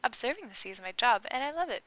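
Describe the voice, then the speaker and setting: A woman's voice: a little high, sing-song, quick pace, fairly bright tone, poor audio. A woman voicing a cute, earnest female sea creature while reading game dialogue aloud. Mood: proud, content.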